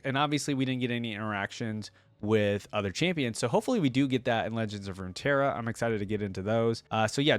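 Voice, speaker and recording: A man's voice: mid-range voice, no room sound. Enthusiastic casual man reacting on video. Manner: enthusiastic, informal. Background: faint music, game voice lines.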